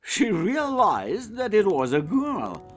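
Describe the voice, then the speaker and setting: High-pitched, slow man narrating a fairy tale. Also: huge pitch swings, soft background music. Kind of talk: scripted children's story narration.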